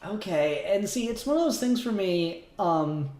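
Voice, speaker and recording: A man's voice: sits high, animated, measured pace, a little mellow. Man, podcast host, informal critical chat, hesitant phrasing, roomy echo. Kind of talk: casual critical discussion. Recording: roomy, echoey home recording.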